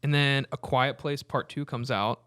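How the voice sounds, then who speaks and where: A man's voice: medium pitch, fairly even intonation, normal speed, fairly bright tone, clean tone. A man hosting a casual, conversational film discussion among friends. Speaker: podcast host leading the discussion. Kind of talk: casual chat about films.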